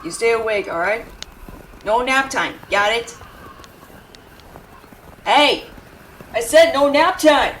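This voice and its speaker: A woman's voice: a little high, a bit bright, recorded from a distance, slight background noise, low-quality recording. A woman playing a brash but caring tsundere young woman in an intimate audio roleplay, scolding with tender worry underneath. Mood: urgent, tender. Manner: brusque, scolding, exasperated, with tender worried undertones. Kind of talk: intimate dramatic audio roleplay addressed to the listener.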